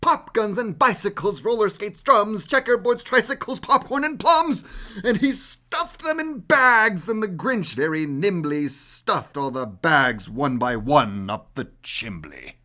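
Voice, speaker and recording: A man narrating a children's story theatrically, with dramatic, expressive delivery that leans into the rhythm and repetition. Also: high voice, measured pace. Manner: dramatic, expressive, builds repeated words up.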